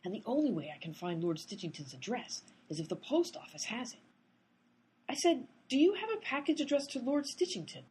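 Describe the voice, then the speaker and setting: A woman's voice: on the high side, big pitch swings, brisk, a little mellow, breathy edge, heavily acted, poor audio. A woman playing an earnest, literal-minded amateur detective in a radio comedy, insistent and pedantic.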